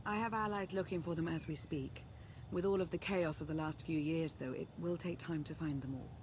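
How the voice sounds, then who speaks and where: An actress playing a composed, earnest female Sith strategist and loyal ally in a video game, speaking in a measured, formal, articulate way. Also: deep voice, darkish tone, slight background noise, close to an everyday voice, low-quality recording. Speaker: advisor and ally. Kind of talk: scripted game dialogue, briefing.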